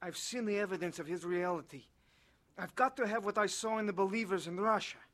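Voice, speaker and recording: Actor as an earnest young defector, high bright voice. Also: male voice, fairly quick. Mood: yearning. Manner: earnest, dramatic. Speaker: first-person narrator of a dramatized testimony. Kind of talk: dramatized scripted narration.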